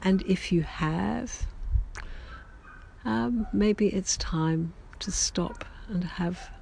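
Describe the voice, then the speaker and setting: Slow, gravelly, hesitant diary-keeping writer. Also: medium pitch, slight background noise. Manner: halting and gentle, with many ums and self-corrections. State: recovering from a lost voice, slightly hoarse. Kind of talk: slow reflective personal chat to listeners.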